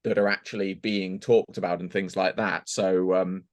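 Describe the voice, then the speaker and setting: A man's voice: sits low, little pitch movement, moderate pace. A man chatting casually on a podcast as a voice actor guest, rambling a little and hesitant as he thinks aloud.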